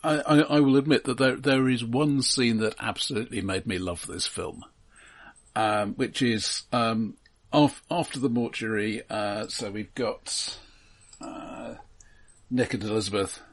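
A man casually discussing a film in a measured, halting, thoughtful way. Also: mid-range voice, fairly even intonation. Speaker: film podcast host.

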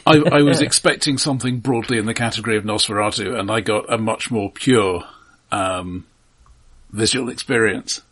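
A man co-hosting a casual film discussion in a measured, halting, thoughtful way. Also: fairly low pitch, a bit bright, slightly rough. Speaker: film podcast co-host.

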